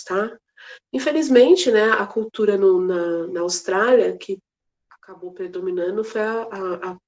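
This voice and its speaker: A woman's voice: mid-range voice, darkish tone. A woman teaching a casual geography class, chatty and playful, with many fillers and restarts. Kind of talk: casual online class lecture.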